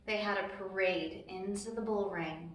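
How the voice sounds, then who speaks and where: A woman with a mid-pitched voice narrates a children's story as a storytime host. Her delivery is expressive and simple, pitched at young listeners. The room is very echoey, with strong reflections around her voice. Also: normal speed. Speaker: storytime host reading a children's book aloud.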